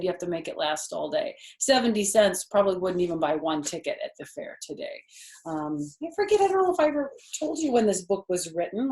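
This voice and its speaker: An older woman reads a children's book in a room with noticeable echo. Her voice sits at a middle pitch. She is expressive and grandmotherly, pausing to explain things to young listeners. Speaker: storyteller reading to children. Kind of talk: expressive read-aloud of a children's book with chatty asides. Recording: echoey room sound.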